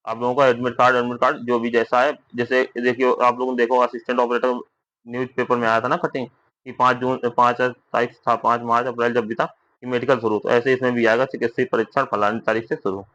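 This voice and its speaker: A man's voice: medium pitch, flat delivery, a little fast, a bit bright, dull audio. A young man gives an informal explanatory update, repeating himself reassuringly and often adding tag questions. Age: young adult. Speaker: content creator giving recruitment updates to fellow candidates.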